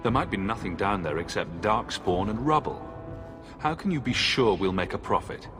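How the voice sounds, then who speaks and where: A man's voice: on the low side, lively intonation, moderate pace, close to an everyday voice. An actor playing a guarded, sceptical male adventurer in a video game, dry and questioning. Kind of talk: video game dialogue acting. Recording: game music behind the voice.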